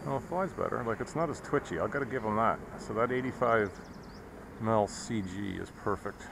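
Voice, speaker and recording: A man's voice: on the low side, lively intonation, normal speed, gravelly. A man chatting casually and bluntly as he flies hobby planes, with wry, sweary remarks, recorded outdoors in an open field. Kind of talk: casual chat while flying. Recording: noisy outdoor action-camera sound.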